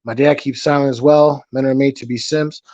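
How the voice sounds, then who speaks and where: A man's voice: mid-range voice, fairly even intonation, fairly quick, darkish tone, no echo, muffled recording. A man hosting a casual late-night livestream, rambling and restarting his sentences, reading out chat messages, his voice getting worn after a long session. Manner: rambling, repeats himself, restarts.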